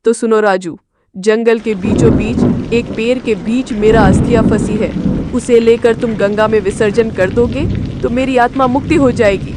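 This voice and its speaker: A woman's voice: fairly high pitch, steady tone, moderate pace, close to an everyday voice. A woman voicing the sorrowful, restless ghost of a drowned village girl in a cartoon horror story. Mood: pleading. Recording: noisy, rain and thunder behind.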